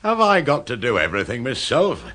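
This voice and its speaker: An English actor playing a cocky, sardonic older office clerk turned crook in a radio drama, with a bossy, bantering way of talking. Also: male voice, mid-range voice, wildly swooping pitch, a little fast, pushed and bright, heavily acted. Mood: irritable, bossy. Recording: noisy radio drama recording with background ambience.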